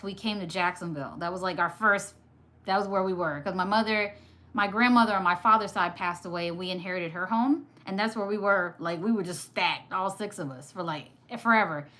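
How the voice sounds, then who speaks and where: A woman from Sudan whose first language was Arabic, hosting a casual live stream, chatty and quick to laugh while reading comments. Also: mid-range voice, fairly bright tone. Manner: chatty, laughs often, teasing. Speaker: livestream host.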